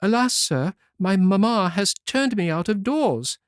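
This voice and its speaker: A man voicing a meek, gentle young girl who speaks politely in a fairy tale reading. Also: high voice, normal speed, no echo, studio quality, pitched above the performer's own voice, natural voice. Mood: tearful. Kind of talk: storybook reading.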